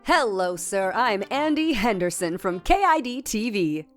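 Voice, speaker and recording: A woman's voice: mid-range voice, very animated, unhurried, soft background music. A woman playing an over-eager, smarmy television reporter, gushing and showy, in a campy audio play. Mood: excited.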